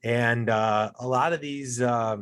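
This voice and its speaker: A man's voice: mid-range voice, fairly even intonation, slow, fairly bright tone, clear, resonant tone. A man guesting on a golf podcast, chatting casually and telling stories with self-deprecating humor. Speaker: podcast guest, co-host of another golf show. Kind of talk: casual interview chat.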